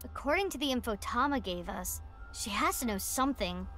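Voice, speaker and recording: A woman's voice: a little high, expressive, brisk, a bit bright. A woman voicing an earnest, determined young female detective in a video game, straightforward and decisive. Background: video game music.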